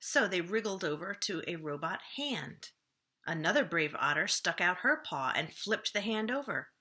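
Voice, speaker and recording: Women's storytime voice, slightly low and very bright, expressive children's book narration. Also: female voice, moderate pace. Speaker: children's librarian reading a book aloud. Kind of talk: storybook read-aloud for children.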